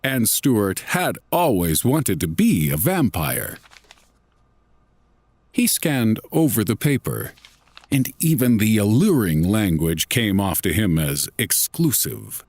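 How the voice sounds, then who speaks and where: A man reading a scripted horror story in a deliberate, dramatic, literary manner. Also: lowish voice, big pitch swings, darkish tone, no echo. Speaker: narrator.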